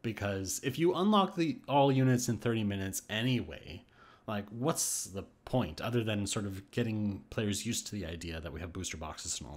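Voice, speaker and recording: A man giving casual, thinking-aloud commentary on game patch notes, with restarts and hesitations. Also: medium pitch. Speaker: gaming YouTuber. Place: voice-over on screen capture.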